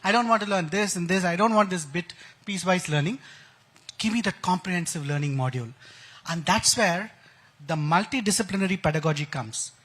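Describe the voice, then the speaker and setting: Male Indian-accented expert, high voice, keen explainer with plenty of jargon, conference interview. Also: a little fast, a bit bright. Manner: enthusiastic, uses analogies and technical jargon. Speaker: expert guest, educator. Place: conference stage.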